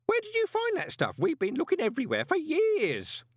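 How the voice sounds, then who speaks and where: A man voicing an excitable old male postal clerk in a high, quavering voice. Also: normal speed, no room sound, clean studio sound, heavily acted. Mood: excited.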